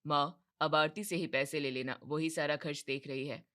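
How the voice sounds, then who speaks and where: A tired, meek young man, as a woman puts him on, his voice pushed low and kept subdued. He answers with weary resignation. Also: steady tone, moderate pace, fairly bright tone. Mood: resigned.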